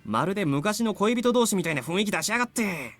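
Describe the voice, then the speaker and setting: A man's voice: high voice, big pitch swings, a bit bright, faint music behind. An actor voicing a sulky, petulant but good-natured young male student in a Japanese visual novel. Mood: sulky, jealous. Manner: petulant, brash, fast-talking.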